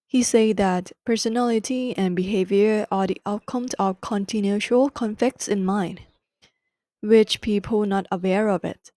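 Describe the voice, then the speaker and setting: A young woman with a Thai accent hosts a podcast, her voice warm and mellow. She talks slowly and deliberately, fixing her own words as she goes. The recording is close and dry. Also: mid-range voice, no room sound. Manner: careful, deliberate non-native English with self-corrections. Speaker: podcast host. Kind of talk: solo book-summary podcast.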